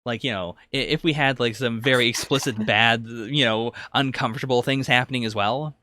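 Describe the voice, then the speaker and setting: A man's voice: mid-range voice, moderate pace, bright, forceful tone, dry recording. Guy on a podcast talking through a review, kinda hesitant and rambly, very dry sound. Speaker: podcast co-host. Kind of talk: casual review discussion.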